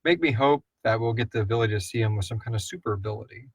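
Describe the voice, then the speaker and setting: A man's voice: on the low side, racing, breathy edge, no echo, lo-fi sound. A man co-hosting a live podcast Q&A in an informal, rambling, jokey way, casually reading out and answering listener questions. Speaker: co-host and producer of an audio drama podcast.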